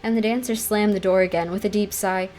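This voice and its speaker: A woman's voice: mid-range voice, steady tone, a little fast, darkish tone, faint music behind. A woman reading a classic novel aloud as scripted bedtime story narration. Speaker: storybook reader.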